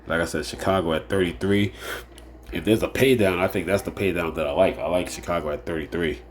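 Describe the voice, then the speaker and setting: A man with a very low voice and a stuffy, nasal sound from being a little under the weather. He rambles loosely through his picks, circling back on the same phrases and filling gaps with "uh" and "like I said." A faint hum sits behind him. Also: fairly even intonation, fairly quick. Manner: rambling, repeats phrases, many fillers. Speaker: fantasy sports show host. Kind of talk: casual solo picks preview.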